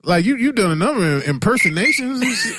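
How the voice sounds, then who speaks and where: A man's voice: very high-pitched, big pitch swings, fast, fairly bright tone, dry recording. A man hosting a podcast, chatting casually in informal, profane slang. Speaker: podcast host. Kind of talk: casual interview chat.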